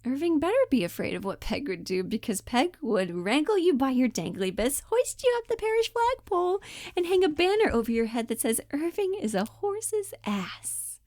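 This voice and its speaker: A woman's voice: sits high, big pitch swings, moderate pace, a little mellow, no echo, studio quality, voice pushed up. A woman playing a scorned, vengeful jilted lover in an audition monologue, swinging between forgiveness and threats. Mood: vengeful, threatening. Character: scorned, vengeful woman left by her man. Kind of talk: dramatic comedic audition monologue.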